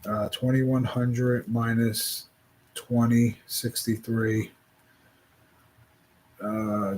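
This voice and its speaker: A man in his forties speaking in a casual reflective monologue, rambling and halting with many restarts. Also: mid-range voice, a little hiss or hum, poor audio. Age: 40s.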